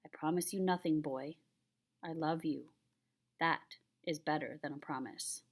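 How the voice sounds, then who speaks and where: A woman's voice: deep voice, unhurried. A woman voicing a patient, solemn old grandfather who tells a tale in a grave, tender way, reading a children's picture book.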